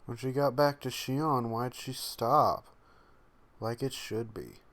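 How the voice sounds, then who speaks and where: A man hosting a casual reaction video, exclaiming briefly and reading on-screen text aloud haltingly. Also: medium pitch, fairly bright tone.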